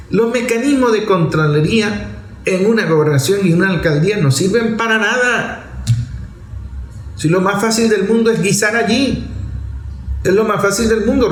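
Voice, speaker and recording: A man with a Venezuelan accent giving off-the-cuff political commentary in a didactic way, repeating phrases and posing rhetorical questions. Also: sits high. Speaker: political commentator addressing an online audience. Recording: roomy echo with faint background noise.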